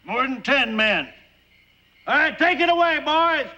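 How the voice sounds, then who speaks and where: A man's voice: very high-pitched, animated, a little slow, pushed and bright, muffled recording, heavily acted. An actor playing a brash, blunt railroad boss who declaims like a showman in a television folk-tale drama. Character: brash railroad boss showing off his new machine.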